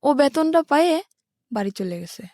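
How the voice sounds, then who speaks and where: A woman's voice: sits high, big pitch swings, normal speed, darkish tone, no room sound, studio quality. A woman from rural Bangladesh with a Bangladeshi accent, telling her life story step by step in a plain-spoken way as an interview guest. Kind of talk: personal life-story narration in a radio interview.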